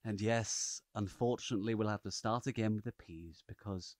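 A man playing a courteous half-elf adventurer with formal, measured wording in a fantasy audio drama. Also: fairly low pitch, darkish tone, no room sound, clean studio sound, close to an everyday voice. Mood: resigned.

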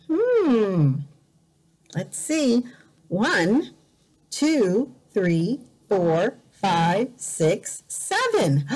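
A woman teacher reading a picture book aloud to children in a deliberate, exaggerated way, repeating words for learners, recorded indoors on a device camera. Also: medium pitch. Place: indoors in a room by a bright window.

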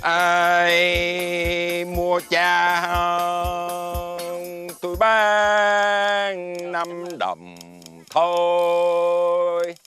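An actor playing a gentle, patient old man who sells himself to find a caring child, in a television folk-tale drama with a slow, kindly, soft-spoken manner. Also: high voice, steady tone, faint music behind, exaggerated voice. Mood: pleading. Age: older. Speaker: old father character.

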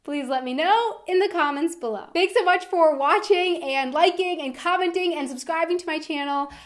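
A young American woman presenting a vlog to camera in an expressive, emphatic manner. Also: very high-pitched, normal speed. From United States. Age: young adult. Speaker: video host. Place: indoor room with decorated wall backdrop.

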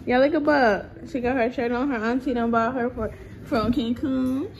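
A woman's voice: on the high side, steady tone, normal speed, highs cut off, background noise. A young woman, chatting playfully to viewers and a toddler in a casual family vlog, filmed on a handheld camera indoors. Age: 20s. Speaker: vlogger. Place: rented apartment kitchen, indoors. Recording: music playing over the voice.

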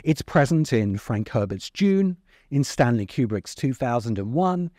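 A man's voice: mid-range voice, sing-song, measured pace, warm tone, dry recording. A middle-aged man hosting a video essay, delivering scripted narration into a close microphone. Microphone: close microphone on a stand in front of him.

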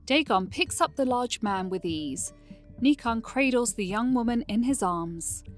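A woman's voice: a little high, expressive, unhurried, faint music behind. A woman reading scripted narration for a fantasy audio drama.

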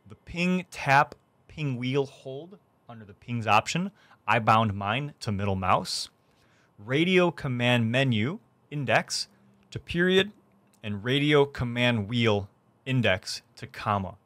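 A young man hosting a gaming tutorial, explaining things clearly step by step from his streaming room. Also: mid-range voice, animated, slow pace, a bit bright, no room sound. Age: young adult. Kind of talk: tutorial narration. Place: small indoor room streaming setup.

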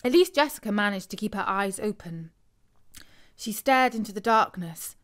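A woman reading a scripted bedtime story for children in an expressive way, acting out the dialogue. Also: mid-range voice, moderate pace.

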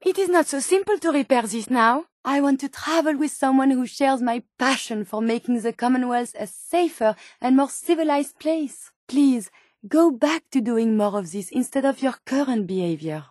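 A high, closely recorded female voice with a French accent, an earnest video game medical robot who is learning to be human. She speaks in formal, carefully chosen words, with open curiosity and tender feeling. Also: moderate pace, no echo, studio quality. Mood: stern, reproachful. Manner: precise, formal, expressive diction. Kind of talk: video game character dialogue.

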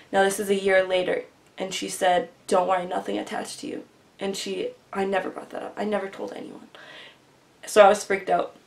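Earnest young woman telling a story. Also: medium pitch. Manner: earnest, rambling, often quieter and trailing. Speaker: vlogger. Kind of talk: casual storytelling. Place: small bedroom with bookshelf, indoors. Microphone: webcam at desk distance.

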